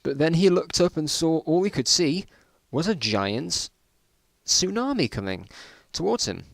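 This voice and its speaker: A man's voice: fairly high pitch, big pitch swings, measured pace, creaky, no room sound. A British man reading stories aloud in an informal dramatic style, breaking off with amused, incredulous asides. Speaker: reader of his own old school stories.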